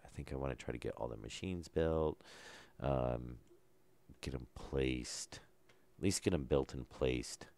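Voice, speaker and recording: A man calmly talking through a survival game as he plays, low-key and unhurried, with no put-on enthusiasm. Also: creaky. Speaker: gameplay commentator. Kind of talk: casual let's-play commentary.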